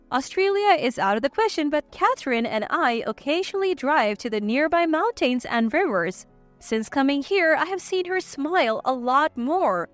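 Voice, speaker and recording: High-pitched, lively woman narrating. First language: English. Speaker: story narrator. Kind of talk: scripted first-person story narration.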